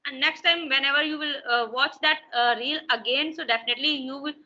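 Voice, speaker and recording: A woman's voice: high voice, a little fast, bright, forceful tone, highs cut off. A woman with an Indian English accent, a native Hindi speaker, answering interview questions in hesitant English with many fillers and restarts. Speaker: interview guest, English learner. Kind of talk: casual conversational English practice.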